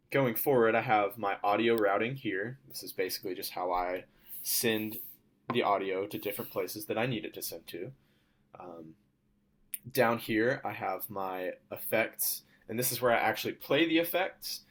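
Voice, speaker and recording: A young adult man presenting as a student, walking through a software demo in an informal, explanatory way. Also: lowish voice. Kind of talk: casual software demo walkthrough.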